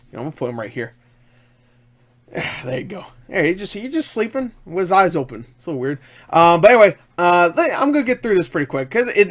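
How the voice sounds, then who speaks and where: A man with a fairly high voice talks to camera as an online movie reviewer. He is enthusiastic and jokey, rambling casually and breaking off into small asides as he goes. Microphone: webcam setup.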